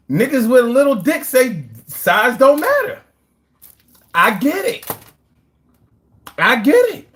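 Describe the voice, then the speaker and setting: A man's voice: extremely high pitch, fairly bright tone. A young man from Philadelphia with a Philadelphia accent, chatting casually on a livestream, brash and profane, boasting in street slang. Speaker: livestream host. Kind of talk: casual livestream chat reading comments.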